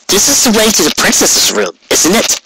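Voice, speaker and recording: A man's voice: sits high, animated, moderate pace, noisy background, pitched above the performer's own voice. A man voicing a brash, impatient young female pegasus pony in a storybook reading, speaking casually. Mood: questioning.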